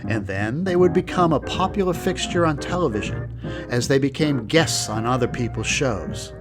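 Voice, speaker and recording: Casting: male host, medium pitch, scripted retrospective narration with music underneath. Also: expressive, normal speed.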